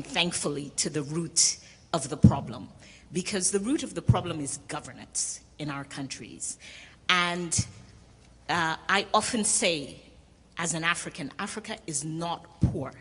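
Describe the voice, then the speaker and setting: Low husky woman from Cameroon, defiant. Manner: forceful, defiant, deliberate. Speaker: audience member asking a question. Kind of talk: impassioned public comment. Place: conference hall, audience standing mic. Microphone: standing mic. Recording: long hall reverb.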